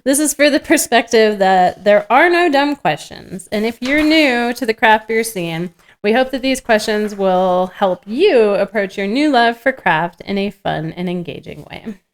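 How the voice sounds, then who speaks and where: A woman's voice: a little high, animated, a little slow. A woman co-hosting a podcast in an informal, joking way, reading from a script and chatting. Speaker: podcast co-host. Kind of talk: casual table read with banter.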